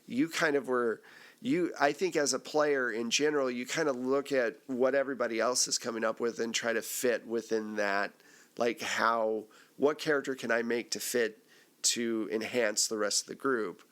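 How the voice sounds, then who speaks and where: A man's voice: medium pitch, fairly even intonation, normal speed, fairly bright tone. A man hosting a casual group debrief, putting questions to players in a conversational way. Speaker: host, game master of an actual play podcast.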